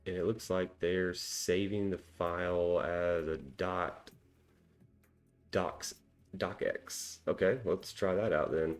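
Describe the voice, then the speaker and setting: Low-voiced man thinking aloud. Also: fairly even intonation, takes it slowly, soft background music. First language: English. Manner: chatty and self-deprecating, lots of ums and small laughs. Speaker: cybersecurity tutorial host. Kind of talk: casual screen-recorded walkthrough, thinking aloud.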